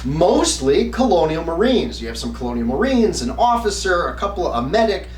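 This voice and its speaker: A man hosting a review, explaining to viewers in an enthusiastic but halting, emphatic way. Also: fairly high pitch, big pitch swings. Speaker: host of a game review channel. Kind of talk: semi-scripted review talk to viewers. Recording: roomy, distant mic with faint hum.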